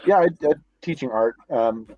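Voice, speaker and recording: A man's voice: medium pitch, expressive, normal speed, no room sound, muffled recording. A man in his office on a college campus, chatting casually over a video call and rambling with many restarts. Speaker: guest, comics creator. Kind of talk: casual chat.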